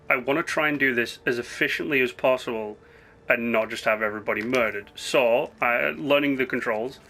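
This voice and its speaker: A hoarse man who has partly lost his voice, hosting a rambling, chatty let's-play commentary full of asides and self-corrections. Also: mid-range voice, bright, forceful tone, slight background noise. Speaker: gaming channel host.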